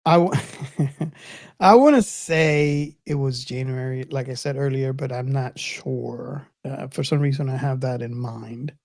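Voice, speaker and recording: A man's voice: medium pitch, unhurried, a little mellow, no room sound, studio quality. A middle-aged man co-hosting a podcast in casual film discussion, reflective and often searching for his words. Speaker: podcast co-host.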